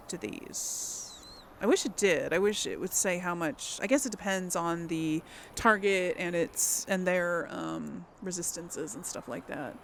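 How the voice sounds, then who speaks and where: A woman's voice: on the low side, vocal fry, a little hiss or hum. A woman giving casual gaming commentary, thinking aloud in a hesitant, musing way and often trailing off. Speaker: let's player hosting a role-play playthrough.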